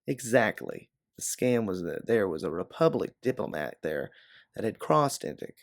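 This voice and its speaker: A man's voice: on the low side, big pitch swings, normal speed, creaky, no echo, clean studio sound. A man voicing a guarded, hard-bitten female bounty hunter in a science fiction audiobook. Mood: recounting, guarded. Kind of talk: audiobook character dialogue.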